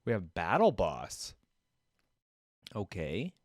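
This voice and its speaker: A man with a fairly low voice, recorded close and dry. He talks casually as a gaming reaction host, rambling and working out his thoughts aloud. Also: wildly swooping pitch, slow pace, dry recording.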